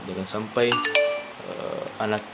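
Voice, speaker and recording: A young man giving an informal student presentation, speaking haltingly with frequent pauses and rephrasing. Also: lowish voice, steady tone, over loud music. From Indonesia. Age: young adult. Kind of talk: informal spoken presentation for a class assignment. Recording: dull lo-fi sound with clear background noise.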